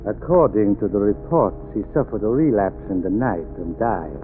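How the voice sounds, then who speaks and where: An actor playing a calm, quietly menacing sanitarium official in a 1930s radio drama. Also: male voice, fairly low pitch, moderate pace, a little hiss or hum. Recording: tinny old radio broadcast.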